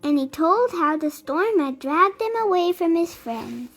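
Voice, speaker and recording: A woman reading a children's story aloud in an animated, deliberate storytelling manner. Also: very high voice, faint music behind. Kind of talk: expressive read-aloud narration for children.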